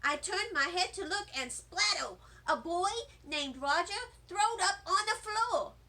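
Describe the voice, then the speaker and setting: A woman reading a children's storybook as an excitable, dramatic little first-grade girl, with childlike grammar and emphatic delivery. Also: very high-pitched, a little slow, full character voice. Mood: earnest, dramatic. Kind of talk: children's storybook read-aloud.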